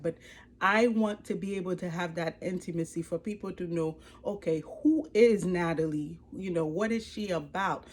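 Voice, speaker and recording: Expressive Haitian woman with a low voice. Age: 40s. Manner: very expressive, earnest and encouraging. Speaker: life coach and ministry leader. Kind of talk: personal testimony to camera. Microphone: speaking to a camera.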